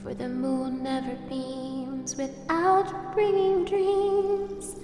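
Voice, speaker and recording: Female singer, high voice, drawn-out lullaby delivery of a poem, swimming in long reverb. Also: level tone, dark tone. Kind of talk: slow lullaby-like singing of a poem. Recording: long echoing reverb over music. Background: music.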